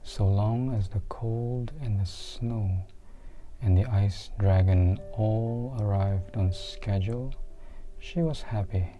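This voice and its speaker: A soft-spoken reader giving an ASMR storybook reading, hushed and deliberate, pausing often between phrases and slipping into near-whispers. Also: medium pitch, slight background noise, poor audio. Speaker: book reader.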